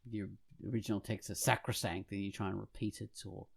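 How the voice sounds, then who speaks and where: A man co-hosting a podcast, speaking casually in a halting, reflective way. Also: lowish voice, little pitch movement, creaky, husky. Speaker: podcast co-host. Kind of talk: casual conversational discussion.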